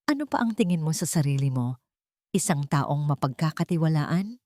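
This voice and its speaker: A cold, merciless father and boss, acted out by a woman storyteller, stern and accusing in an audio drama. The voice is a bit low, commanding, and recorded very dry. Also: animated, moderate pace, a little mellow, dry recording. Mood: scornful anger.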